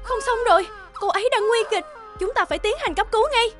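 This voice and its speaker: Concerned female cartoon medic, high voice, earnest, light music behind. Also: quick pace, a bit bright. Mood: urgent. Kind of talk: dubbed cartoon drama acting.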